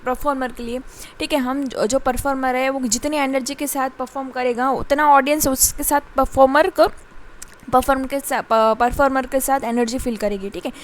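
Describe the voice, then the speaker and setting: A woman teaching a commerce class in Hindi, reading definitions slowly and pausing often with fillers. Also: high-pitched, steady tone. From India. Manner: explains in Hindi, reads definitions slowly in English, frequent fillers and ठीक है. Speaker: commerce lecturer. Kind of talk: classroom lecture.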